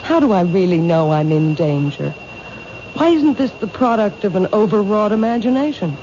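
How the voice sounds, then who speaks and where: Woman acting as a frightened young woman, halting, doubting, emotional, 1970s radio mystery, dull noisy recording. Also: mid-range voice, animated, warm tone, lightly acted. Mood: anxious, resisting.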